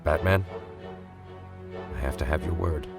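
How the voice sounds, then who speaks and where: Male actor as veteran cop, low steady voice, dry and upright, audio-drama scene with light score. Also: gravelly, faint music behind, exaggerated voice. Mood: firm. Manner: measured, firm, dry. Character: steady, principled veteran police captain, adult man. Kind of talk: comic-book audio drama acting.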